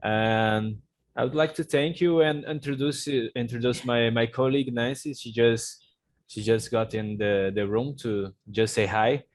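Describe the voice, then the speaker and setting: A man's voice: mid-range voice, fairly even intonation, measured pace, no echo. A man hosting a virtual fair over a video call, often repeating words as he goes. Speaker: host of the fair.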